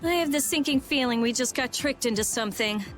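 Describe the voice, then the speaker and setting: A woman's voice: high-pitched, a little fast, a bit bright, faint music behind. A woman playing a sarcastic, skeptical young adventurer in a video game. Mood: sardonic. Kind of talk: video game dub acting.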